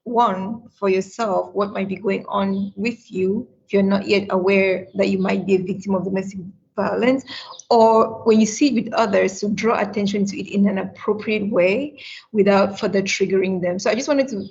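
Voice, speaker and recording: A woman's voice: mid-range voice, steady tone, normal speed, vocal fry, a little mellow, breathy edge, highs cut off. A woman who grew up in Cameroon, moderating a panel discussion over a video call and speaking earnestly. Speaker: webinar moderator.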